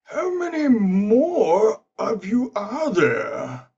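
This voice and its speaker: A woman's voice: mid-range voice, wildly swooping pitch, extremely slow, warm tone, exaggerated voice. An actress playing a wide-eyed, puzzled, polite woman in an audio play. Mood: amazed. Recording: dull, distant lo-fi sound.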